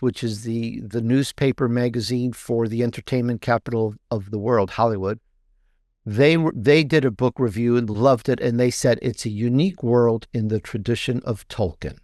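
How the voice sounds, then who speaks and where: A man's voice: medium pitch, normal speed, darkish tone, no echo, studio quality. A man speaking as a guest author in a conversational interview, explaining things in an enthusiastic, rambling way. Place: video interview with book backdrop.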